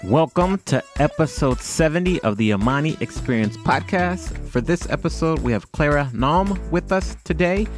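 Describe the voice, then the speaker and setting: A man with an American accent hosting a podcast, giving introductions and interviewing. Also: medium pitch. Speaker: podcast host. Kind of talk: scripted introductions and interview chat.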